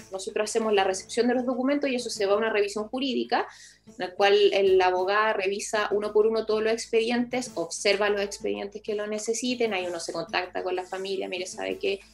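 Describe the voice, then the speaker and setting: A woman with a Chilean accent giving halting, explanatory answers as an official in an informal radio interview, often rephrasing as she goes. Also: mid-range voice. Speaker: municipal project official being interviewed.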